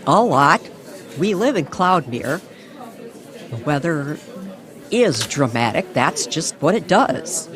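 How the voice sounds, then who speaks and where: A woman playing a shaken, sceptical small-town reporter in a radio drama, incredulous and halting. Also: low voice, sing-song, a bit bright, heavily acted. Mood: dismissive. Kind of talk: old-time radio drama acting. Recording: crowd chatter behind the voice.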